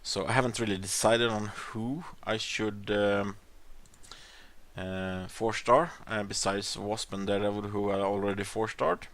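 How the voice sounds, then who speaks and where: Swedish-accented man, lowish voice, rambling gameplay talk. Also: fairly even intonation, unhurried, fairly bright tone. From Sweden. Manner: rambling, hesitant, many fillers and restarts. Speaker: gaming channel host. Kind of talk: casual gameplay commentary.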